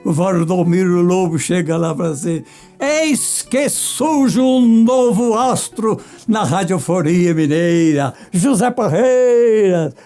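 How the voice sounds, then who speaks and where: A man's voice: high voice, animated, takes it slowly, mellow, faint music behind. An older man with a Minas Gerais Brazilian accent, reminiscing and acting out his stories as a veteran radio performer. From rural Minas Gerais, Brazil. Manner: acts out dialogue and sounds. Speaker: veteran radio singer and actor. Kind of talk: animated reminiscing, storytelling.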